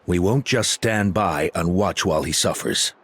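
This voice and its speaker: Low, husky, steadfast chief. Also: male voice, normal speed, no room sound. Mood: earnest. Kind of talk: earnest video game dialogue.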